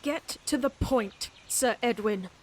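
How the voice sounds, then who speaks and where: High-voiced, proud lady knight, played by a woman. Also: takes it slowly, a little hiss or hum. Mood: terse, wary. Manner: haughty, formal, cutting. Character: proud, indignant lady knight, quick to anger. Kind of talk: theatrical fantasy audio roleplay acting.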